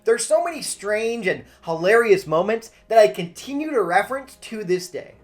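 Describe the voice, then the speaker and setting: Lively woman narrating over music. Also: mid-range voice, normal speed. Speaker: host of a film review video. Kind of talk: scripted video essay narration.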